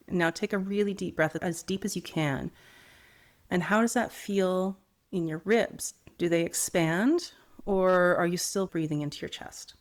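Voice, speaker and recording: A woman vocal coach, a little hoarse after a cold, calmly guiding listeners through breathing exercises. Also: on the low side. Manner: calm, instructive, gently encouraging. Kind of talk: guided breathing lesson.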